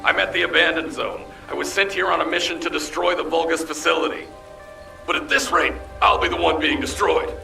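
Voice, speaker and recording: An actor playing a gruff, battle-worn squad leader in a game, blunt and intense, with a gravelly, raspy voice. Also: male voice, on the high side, steady tone, brisk, pushed and bright, faint music behind, heavily acted, poor audio. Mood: desperate, pleading. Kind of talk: scripted game dialogue.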